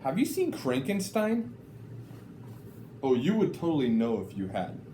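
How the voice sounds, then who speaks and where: A man reading picture books aloud to children in an animated, deliberate storytelling style. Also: mid-range voice. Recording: echoey room, faint background noise.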